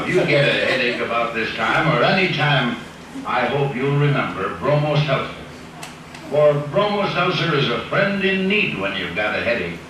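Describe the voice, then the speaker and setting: A male old-time radio announcer with a medium-pitched voice, delivering a folksy, neighborly sales pitch. The vintage recording is heavily echoing and distant, with faint noise. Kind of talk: 1940s radio advertising pitch.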